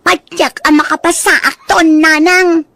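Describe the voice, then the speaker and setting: A woman's voice: extremely high pitch, little pitch movement, a little slow, sharp tone, no echo, exaggerated voice. A woman playing a snobbish, snappish young sister in an Ilocano audio drama, with an Ilocano accent. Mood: haughty, irritated. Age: young adult. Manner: snobbish, dismissive. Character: haughty, snappish young college-graduate sister, scornful of suitor.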